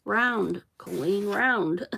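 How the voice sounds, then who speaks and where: A woman's voice: mid-range voice, drawn-out words, vocal fry, slight background noise. A middle-aged woman from Central Illinois, with that region's accent, chatting and rambling while she works through a craft project on a livestream. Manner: rambling, chatty, laughs often, mutters to herself. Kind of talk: casual chat while crafting.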